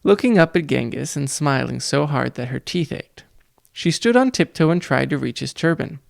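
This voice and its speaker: A man reading a children's audiobook, narrating in a measured, deliberate way. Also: a little high, animated, vocal fry, dry recording, clean studio sound. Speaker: audiobook reader.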